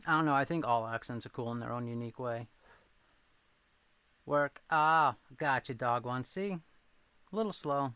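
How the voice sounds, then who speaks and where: A man's voice: medium pitch, normal speed, pauses often, pushed and bright, smooth, no room sound. A man from New England with a thin Boston accent, rambling and joking at his own expense as he hosts a casual livestream and answers viewers. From New England, near Rhode Island. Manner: rambling, self-deprecating, reacts to chat. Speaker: livestream host.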